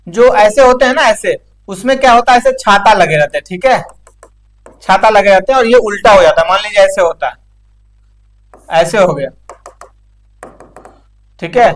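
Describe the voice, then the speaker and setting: A man's voice: high voice, poor audio. Man teaching. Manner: explanatory, with frequent check-in tags. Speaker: instructor. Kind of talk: informal practical technical lecture.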